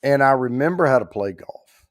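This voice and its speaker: Livestream host, male, mid-pitched, hoarse and strained, rambling folksy chat, keeping his volume down. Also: lively intonation, moderate pace, no room sound, clean studio sound. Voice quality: rough, strained voice. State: hoarse, worn out after allergy attack. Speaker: host of a whiskey giveaway livestream.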